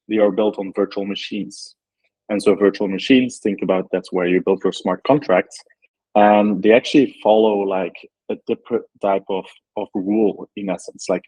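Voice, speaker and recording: A man's voice: on the low side, dark tone, slightly rough, no echo, rough recording. A man from Belgium, a startup founder, answering interview questions thoughtfully with many ums and likes.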